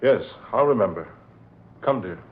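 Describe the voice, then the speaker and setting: An actor playing a tender, mild-mannered young fiancé, polite and soothing, in 1940s radio drama style. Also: male voice, lowish voice, expressive, normal speed, husky. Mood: evasive. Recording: tinny, hissy vintage radio recording.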